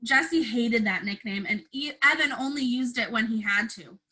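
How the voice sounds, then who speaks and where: Female narrator, teacher-style children's read-aloud, expressive, with a high, bright voice. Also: normal speed, husky, rough recording. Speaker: teacher reading aloud to first graders.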